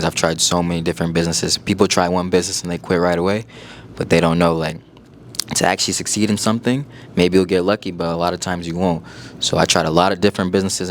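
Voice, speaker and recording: Young man, 19, from Iowa, relaxed guest talk, very low and even voice, faint noise behind. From United States (Iowa). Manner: laid-back, relaxed, filler-heavy talk. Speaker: guest entrepreneur. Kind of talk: casual interview.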